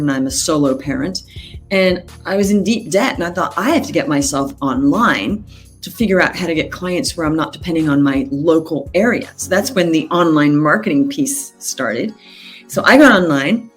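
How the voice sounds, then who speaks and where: A woman in her fifties from the United States and Australia, telling her story conversationally as a business coach being interviewed. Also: fairly low pitch. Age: 50s. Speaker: business coach, podcast guest.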